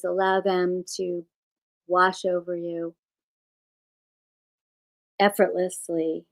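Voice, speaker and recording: Slow-paced woman guiding yoga relaxation. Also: lowish voice, a little mellow. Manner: unhurried phrasing with pauses. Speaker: yoga nidra teacher. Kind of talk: guided relaxation instruction.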